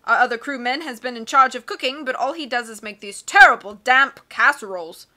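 A woman voicing a loud, hasty male submarine captain who cries out his lines and rambles, reading a storybook aloud. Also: on the high side, fairly quick. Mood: hearty bluster. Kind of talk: read-aloud storybook acting.

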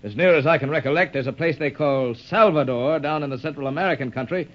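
An actor playing a hearty, steady sea captain with salty, emphatic talk in a 1930s radio serial. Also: male voice, fairly high pitch, quick pace, lightly acted. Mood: hearty, resolute. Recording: muffled vintage 1930s radio recording.

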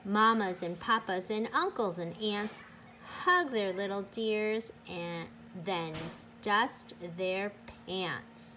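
A woman teacher reading a picture book aloud to children in a deliberate, sing-song, emphatic way. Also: medium pitch, animated, sharp tone, a little hiss or hum, low-quality recording.

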